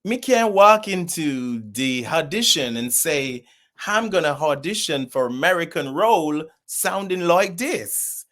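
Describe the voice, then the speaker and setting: A man's voice: fairly high pitch, a little slow. A man with a Jamaican accent, a guest on a podcast, talking casually about acting and slipping into patois. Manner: lively, drifts into Jamaican patois. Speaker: guest, actor and theatre producer.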